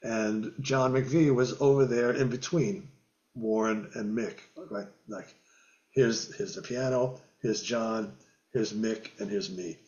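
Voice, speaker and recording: An older man on a video call from his home music room, telling lively stories as an interview guest, acting out quotes and sound effects and laughing often. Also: fairly low pitch, takes it slowly, highs cut off. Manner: animated storyteller, acts out quotes and guitar sounds, laughs often. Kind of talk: storytelling in a casual interview. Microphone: video call webcam.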